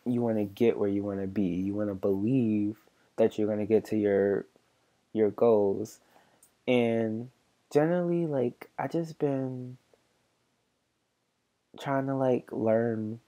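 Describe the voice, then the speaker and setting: A soft-spoken man in his mid-twenties chats loosely as a podcast host, his tone mellow and dark. He stops and restarts often and keeps leaning on filler words. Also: on the low side. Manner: halting, filler-heavy, many restarts and likes. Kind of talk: rambling casual chat.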